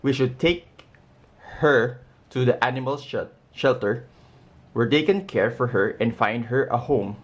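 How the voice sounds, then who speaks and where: A man voicing a caring, cautious man from a children's storybook, speaking with gentle, measured advice. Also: mid-range voice, lightly acted. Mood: concerned, caring.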